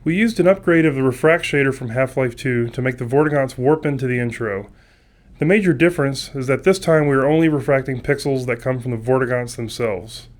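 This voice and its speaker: A man's voice: mid-range voice, a little fast. Male, middle register, scripted technical developer commentary. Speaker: game developer.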